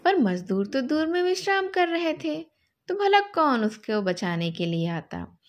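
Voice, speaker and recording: A woman telling a story to children expressively, in a gentle, teaching way. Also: sits high, sing-song, a little slow.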